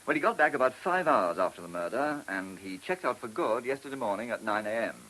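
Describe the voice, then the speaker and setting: A man acting a brisk, matter-of-fact police officer reporting to his inspector in a radio play. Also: medium pitch, animated, pushed and bright, slight background noise, poor audio.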